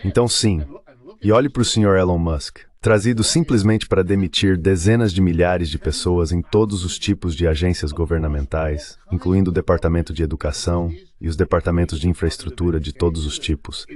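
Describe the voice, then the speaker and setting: A man speaks in a low voice with a Brazilian accent. He delivers a dubbed translation of an interview as a voice-over. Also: fairly even intonation, a little fast, darkish tone.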